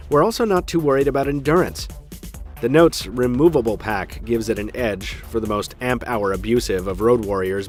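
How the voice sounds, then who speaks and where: A man hosting a tech show, narrating a scripted product review in an emphatic style with dry, wry asides. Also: mid-range voice.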